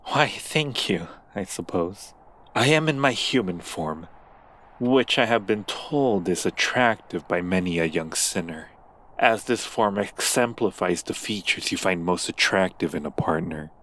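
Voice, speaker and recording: Obsessive demon prince in human form, played by a man: low, slow, deliberate, tenderly menacing seducer for ASMR roleplay. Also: expressive, fairly bright tone, a little hiss or hum. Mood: smooth, reassuring.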